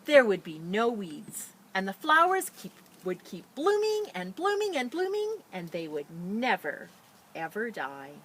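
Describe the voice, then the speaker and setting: Woman, bright voice, lively pitch swings, children's read-aloud style, enthusiastic, some background noise. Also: a little slow, low-quality recording. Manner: expressive, enthusiastic, addressing young children. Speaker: teacher librarian reading a picture book to children. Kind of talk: children's story read-aloud with casual introduction.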